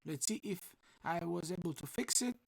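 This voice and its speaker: Rough-voiced man teaching an online class. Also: sits high, expressive. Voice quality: rough, husky voice. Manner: halting, restarts while fixing audio.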